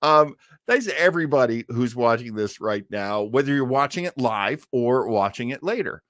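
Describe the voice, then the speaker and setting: A man's voice: mid-range voice, animated, moderate pace, fairly bright tone, dry recording, clean studio sound. A man hosting a live stream about old-time radio, chatting in a rambling, enthusiastic, theatrical way. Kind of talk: casual live stream chat.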